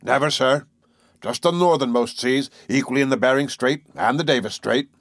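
A man voicing an excitable, boastful Canadian whale harpooner in an audiobook, blunt and emphatic. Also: medium pitch, moderate pace, no room sound, pitched above the performer's own voice, lightly acted. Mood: wistful, eager. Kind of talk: audiobook character voice.

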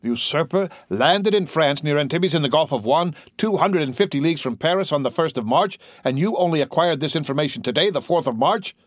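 A man's voice: medium pitch, animated, moderate pace, dry recording, studio quality, lightly acted. A man voicing an indignant, imperious aging king in a storybook reading. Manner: commanding, sharp, sarcastic.

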